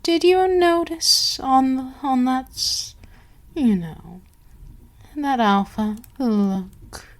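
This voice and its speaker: Woman acting a slow, imperious, seductive vampire queen. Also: sits high, heavily acted. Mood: sly, amused. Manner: slow, deliberate, haughty, commanding, dropping into whispers. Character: imperious, seductive, menacing vampire queen dominating her servant. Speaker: voice actor in audio roleplay. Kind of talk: ASMR roleplay acting.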